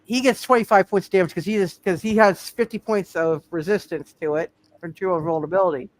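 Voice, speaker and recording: A man running a tabletop superhero game as game master, talking casually and haltingly, often repeating words and phrases as he works out the rules. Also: sits high, fairly even intonation.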